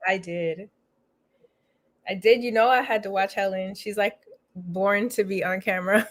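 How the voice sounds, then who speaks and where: A woman co-hosting a casual online chat from a home room over a video call, teasing and quick to laugh. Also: medium pitch, steady tone. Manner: giggly, teasing banter. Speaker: co-host.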